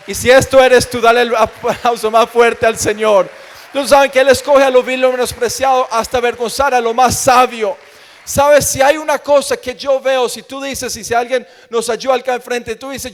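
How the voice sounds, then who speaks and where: A man with a Colombian accent delivers a church sermon in a strikingly high-pitched voice. He is emphatic and leans on repeated phrases. His words ring out with the long reverb of a hall. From Colombia, grew up in Medellín. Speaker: preacher. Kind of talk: evangelical sermon with personal testimony.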